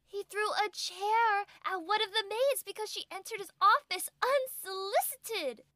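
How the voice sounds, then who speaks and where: A woman playing a high, bright palace attendant. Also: a little slow, no echo, studio quality, heavily acted. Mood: concerned, insistent. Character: female palace attendant, pleading, fussy, caring friend. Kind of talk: audio drama acting.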